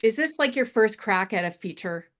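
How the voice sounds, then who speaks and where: A woman's voice: mid-range voice, expressive, normal speed, fairly bright tone. A woman giving unscripted feedback on a screenplay as a panelist, encouraging and feeling her way through her phrasing. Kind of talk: unscripted screenwriting feedback in a table read discussion.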